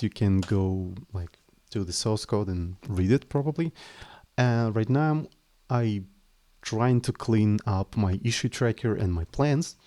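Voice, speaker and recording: A man's voice: on the low side, dark tone. A man live-streaming his coding, speaking English as a second language in a hesitant, apologetic way with frequent restarts. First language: not English. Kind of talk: casual live stream chat, explaining code.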